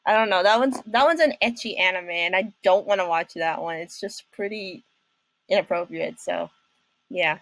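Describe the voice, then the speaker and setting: A woman's voice: lowish voice, normal speed, fairly bright tone, no echo, poor audio. A young woman hosting a casual reaction video, talking in a hesitant, rambling way.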